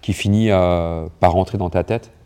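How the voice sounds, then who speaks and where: Young french man, deep mellow voice, hesitant and halting, interview talk. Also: steady tone, smooth. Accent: neutral Parisian French. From France. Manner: hesitant, many restarts and fillers. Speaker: writer and poet.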